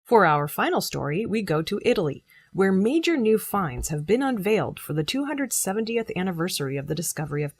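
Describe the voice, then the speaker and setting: A woman reading scripted news as a presenter, in a measured, formal delivery. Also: lowish voice, husky, no echo. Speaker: news reader.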